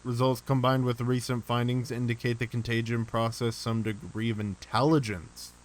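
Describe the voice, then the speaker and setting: Clear-voiced man musing as he streams. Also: mid-range voice, little pitch movement, normal speed. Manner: musing, thinking aloud. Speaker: streamer reacting to an analog horror series. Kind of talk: casual reaction commentary, reading on-screen text aloud.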